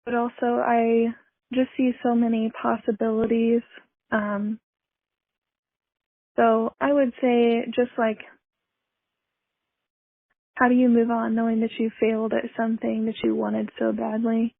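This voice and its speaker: Female caller, unhurried and fairly flat, confessional, dry lo-fi voicemail sound. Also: on the high side, vocal fry, dry recording. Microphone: phone. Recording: dry, narrow-band phone voicemail.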